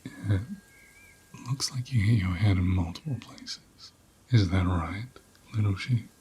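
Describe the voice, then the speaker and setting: Slow, low, whispery caring ram played by a man. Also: full character voice. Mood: tender, attentive. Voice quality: soft, breathy half-whisper. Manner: slow, soothing, tender. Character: gentle, caring male ram tending an injured lamb. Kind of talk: ASMR roleplay.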